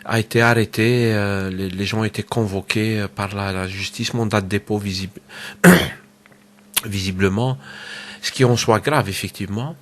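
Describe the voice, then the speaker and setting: A man's voice: on the low side, moderate pace. A man with a cold giving a casual solo political commentary, hesitant, with many fillers and repeated words. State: has a cold. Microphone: home microphone.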